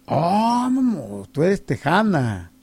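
A man's voice: high voice, huge pitch swings, takes it slowly, darkish tone, slightly rough. A man hosting live radio, chatting casually and teasing callers, often repeating his phrases. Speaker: radio host.

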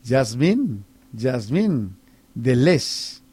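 A man's voice: medium pitch, big pitch swings, very slow, darkish tone, breathy edge. A man hosting live radio, chatting casually and teasing callers, often repeating his phrases. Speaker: radio host.

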